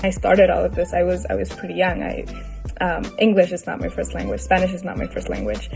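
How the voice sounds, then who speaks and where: Young woman from Ecuador, gravelly, hesitant advocate; soft music under. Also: fairly low pitch, fairly even intonation, a little fast. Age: young adult. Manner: hesitant, many restarts.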